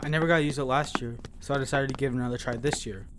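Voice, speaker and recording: A man's voice: mid-range voice, fairly even intonation, rapid-fire, background noise, close to an everyday voice. A man playing an eager, slightly whiny young squad member in an audio drama, casual and questioning.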